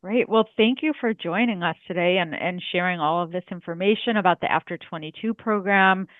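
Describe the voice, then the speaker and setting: A woman podcast host thanking her guest in a measured, polite way. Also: lowish voice, no room sound. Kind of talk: polite scripted wrap-up. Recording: tinny phone-like line.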